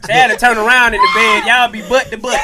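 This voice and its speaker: A young man co-hosting a raunchy comedy podcast in a small studio, loud and laughing as he acts out quoted voices. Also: extremely high pitch, very noisy. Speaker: co-host. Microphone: studio mic with headphones. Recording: lively crowd of voices behind.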